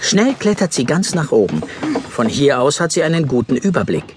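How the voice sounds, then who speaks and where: A man's voice: medium pitch, big pitch swings, normal speed, noisy background. A man narrating a scripted children's story expressively.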